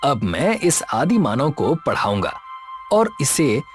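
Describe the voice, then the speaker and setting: A man's voice: medium pitch, slow. An actor playing an earnest, good-hearted and easily startled young man in an audio story. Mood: resolved, friendly. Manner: expressive, stammers when frightened. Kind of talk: audio story character acting. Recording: music bed behind the voice.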